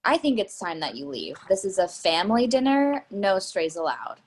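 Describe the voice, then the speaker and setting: A woman's voice: medium pitch, big pitch swings, normal speed, breathy edge. A woman acting a suspicious, snobbish middle-aged mother in a play reading, cutting and condescending. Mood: icy hostile.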